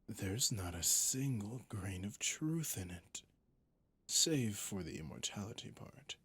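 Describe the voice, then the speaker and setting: Ancient vampire captor, male voice actor, ASMR audio drama. Very unhurried, creaky, whispery delivery; sadistic, mocking, theatrical. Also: fairly low pitch, fairly bright tone, exaggerated voice. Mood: condescending. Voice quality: breathy, gravelly. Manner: slow, theatrical, condescending, often dropping to a whisper.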